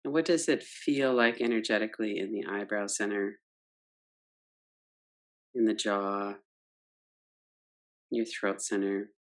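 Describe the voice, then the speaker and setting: Gentle woman guiding a meditation. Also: rumbling low, steady tone. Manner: gentle, unhurried, guiding with soft questions. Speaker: meditation teacher. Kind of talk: guided yoga nidra meditation.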